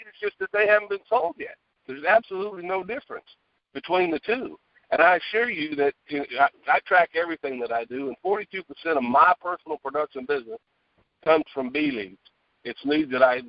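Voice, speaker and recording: A man giving an emphatic, conversational motivational sales talk over a phone earpiece, often checking in with a quick question. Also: high voice, vocal fry, no echo. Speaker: guest speaker, sales director. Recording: dull lo-fi phone earpiece call.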